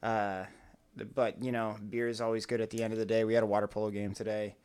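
A man's voice: fairly low pitch, fast, smooth. A man co-hosting a casual podcast, a teacher chatting and laughing as he tells stories. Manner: laughing, animated storytelling. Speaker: podcast co-host. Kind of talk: casual chat.

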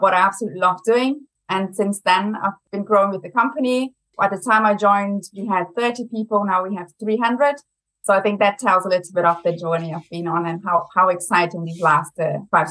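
A woman's voice: medium pitch, low-quality recording. A young adult woman from Brazil with a German accent, talking as a guest in a conversational interview and laughing often. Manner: laughs often, chatty and lively. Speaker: marketing executive guest.

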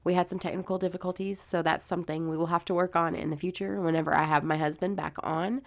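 A woman's voice: fairly low pitch, steady tone, fast talker. A congested woman in her thirties, a podcast host giving listeners a chatty note.

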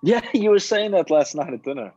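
An agreeable husband, played by a man, chimes in quickly in an improvised comedy scene. His lively voice sits at middle pitch, on a slightly muffled recording. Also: a crowd in the background. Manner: agreeing, interjecting. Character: agreeable, echoing male partner shopping with his spouse.

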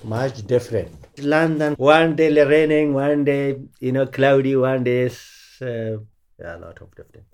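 A middle-aged man with an Afghan accent, a native Pashto speaker, answering interview questions in halting English with many pauses and fillers. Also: mid-range voice, darkish tone, smooth. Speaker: interviewee, former journalist. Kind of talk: oral history interview.

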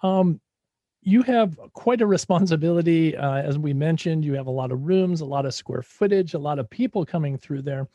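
A man's voice: on the high side, normal speed, warm tone, dry recording, lo-fi sound. A man hosting a radio interview show, casual and filler-heavy in his questions.

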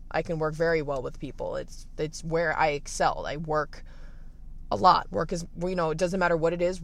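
A young man in his late teens with a raspy voice, candidly thinking aloud in a casual livestream from the beach, full of fillers and restarts. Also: fairly high pitch, fairly bright tone. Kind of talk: reflective casual livestream monologue.

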